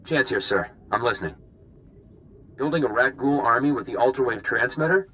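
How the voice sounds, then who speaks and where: A man playing a dutiful, practical field agent in a video game, brisk and frank. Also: mid-range voice, lively intonation, a bit bright, slight background noise, natural voice. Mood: businesslike, focused.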